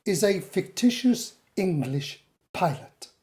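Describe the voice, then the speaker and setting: A woman playing a stern, condescending authority figure in a radio drama, measured, formal and dismissive. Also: lowish voice, animated, warm tone. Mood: stern, imperious. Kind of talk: audio drama acting.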